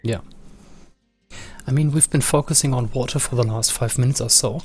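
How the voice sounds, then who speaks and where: A man with a voice going hoarse, giving understated live commentary on a video game match. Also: mid-range voice, moderate pace, darkish tone. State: losing voice, slightly hoarse. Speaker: esports match caster.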